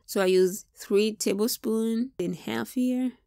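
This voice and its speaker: A woman's voice: fairly high pitch, slow pace, gravelly, warm tone, dry recording. A young woman with a Tanzanian accent reviewing a kitchen gadget step by step in a casual vlog. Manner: plain, step-by-step instructional. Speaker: product reviewer and Swahili teacher.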